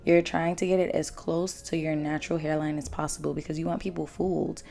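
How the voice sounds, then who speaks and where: A young woman vlogger with a naturally low voice, hoarse after losing it, chatting bluntly and casually through a hair tutorial. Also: level tone, a little fast, warm tone. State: hoarse, lost voice after drinking. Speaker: beauty vlogger. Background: faint background music.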